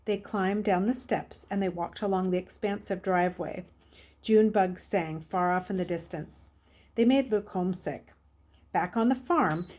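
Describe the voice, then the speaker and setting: A woman's voice: mid-range voice, a little mellow, slight background noise. A woman reading a children's novel aloud as a storyteller. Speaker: story reader.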